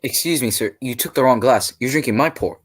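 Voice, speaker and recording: A man playing a hearty, cautious, avuncular English gentleman in a 1940s-style radio drama. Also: medium pitch, fairly quick, close to an everyday voice.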